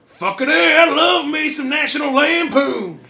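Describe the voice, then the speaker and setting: A man voicing a sloppy, rowdy drunk moviegoer in a shouted comic read-along. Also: very high voice, lively intonation, measured pace, reverberant, pitched above the performer's own voice, heavily acted. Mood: boisterous.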